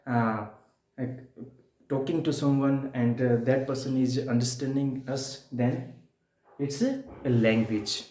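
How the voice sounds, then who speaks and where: A man from India with an Indian accent and Hindi as his first language, giving a spoken-English lesson in a repetitive way, with rhetorical questions and emphatic pauses. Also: medium pitch, dark tone. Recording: echoey room.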